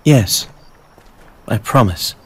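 A man playing an earnest, reserved teenage boy in an animated game cutscene, gentle and measured in manner. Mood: soft, sincere. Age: young adult.

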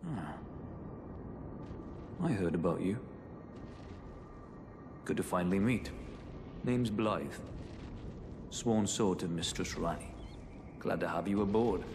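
An actor playing a gruff, plain-spoken half-wolf warrior in a video game, terse and businesslike. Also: male voice, on the low side. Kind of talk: scripted video game character dialogue. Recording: loud music behind the voice. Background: music.

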